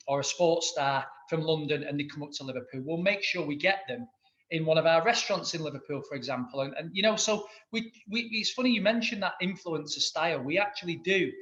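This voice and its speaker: Mancunian accountant guest, higher-pitched male, keen and rambling, muffled sound. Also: a bit bright. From Manchester. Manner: enthusiastic, rambling with many restarts. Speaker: guest, accountant and business owner. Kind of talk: interview answers.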